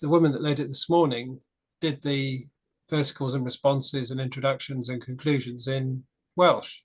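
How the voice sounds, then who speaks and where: A man's voice: medium pitch, fairly even intonation, normal speed. A man in a casual church discussion group, talking frankly and telling a story with restarts.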